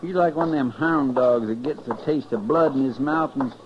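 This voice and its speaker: An actor playing a loyal, deferential sidekick to a frontier marshal in a 1950s radio western, with a rural American West accent. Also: male voice, medium pitch, moderate pace, noisy background, lightly acted. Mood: anxious, deferential. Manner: deferential, plain-spoken, questioning. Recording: dull 1950s radio recording, crowd behind.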